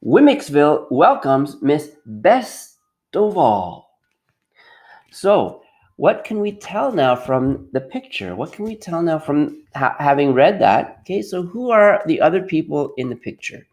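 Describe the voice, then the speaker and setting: A man reading a storybook aloud as a teacher, expressive and pausing to put questions to pupils. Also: a little high.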